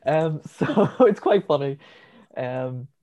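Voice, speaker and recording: A man's voice: on the high side, animated, slow, dark tone. A man with a Northern Irish accent co-hosting a podcast, chatting casually about a film with lots of ums and the odd swear. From Northern Ireland. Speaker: podcast co-host.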